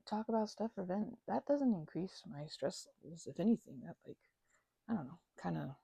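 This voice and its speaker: A woman playing a chatty, supportive, slightly weary housemate in an intimate ASMR roleplay, rambling and hesitant in a soft, playful, conversational way. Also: sits low, vocal fry, breathy edge, no room sound, exaggerated voice. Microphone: close microphone, dry.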